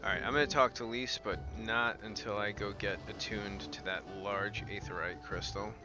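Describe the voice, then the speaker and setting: A man's voice: on the low side, fairly even intonation, moderate pace. A man giving casual gameplay commentary as a game streamer. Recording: game music behind voice. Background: game music.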